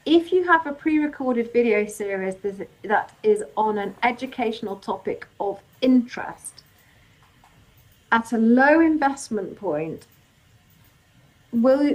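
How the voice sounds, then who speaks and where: A woman hosting a live legal webinar, reading out audience questions and answering them informally, her voice getting croaky. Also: a little high, a little mellow, rough recording. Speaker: legal workshop host.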